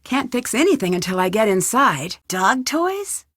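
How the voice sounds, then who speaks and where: A woman's voice: a little high, big pitch swings, normal speed, fairly bright tone, no room sound, clean studio sound. A young woman voicing a curious, plucky amateur detective in an adventure video game, musing to herself inquisitively. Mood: thoughtful, practical. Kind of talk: scripted adventure game voice acting, talking to herself.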